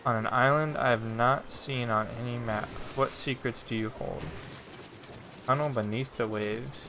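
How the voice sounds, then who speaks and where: Over faint game ambience, a man talks casually as he streams a game. His voice sits at a medium pitch. He comments on play in a conversational way, as a middle-aged streamer would. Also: fairly even intonation, a little slow. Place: indoor room. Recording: faint background game noise.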